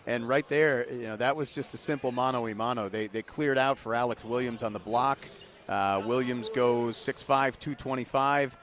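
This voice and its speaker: A man's voice: mid-range voice, fairly even intonation, moderate pace, fairly bright tone. A man giving live color commentary on a basketball broadcast, breaking down plays in a conversational, colloquial way. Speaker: color analyst. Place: indoor basketball arena. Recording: live arena broadcast with game noise.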